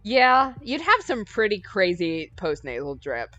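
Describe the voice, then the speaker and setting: A woman's voice: mid-range voice, sing-song, measured pace, bright, forceful tone, no room sound, muffled recording, faint music behind. A woman co-hosting a gaming stream, casually chatting and recapping the story with easygoing wry humor. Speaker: let's play co-host.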